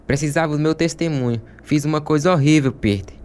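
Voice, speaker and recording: A man's voice: fairly high pitch, fairly quick, a little mellow, lightly acted. Man playing a guilt-ridden, troubled prison informer. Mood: remorseful, afraid. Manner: confessional, troubled. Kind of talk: radio drama dialogue. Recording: faint background ambience.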